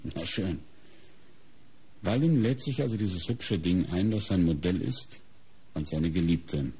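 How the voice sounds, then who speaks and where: An actor playing a sober, methodical middle-aged police inspector in a radio crime play, raspy and measured as he reasons aloud. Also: deep voice, warm tone, full character voice. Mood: matter-of-fact. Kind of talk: German radio crime drama acting.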